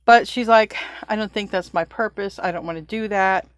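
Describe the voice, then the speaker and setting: A woman's voice: mid-range voice, normal speed, dry recording. A middle-aged woman gives a casual spiritual tarot reading. She talks loosely, corrects herself mid-sentence, and chats as if to a friend. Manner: chatty, loose, self-correcting, laughs at own slips. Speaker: tarot reader.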